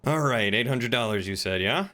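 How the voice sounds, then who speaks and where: A man streaming a roleplay game, chatting casually and talking in character in a wry, measured way. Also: mid-range voice, animated, sharp tone. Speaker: game streamer playing a crime boss.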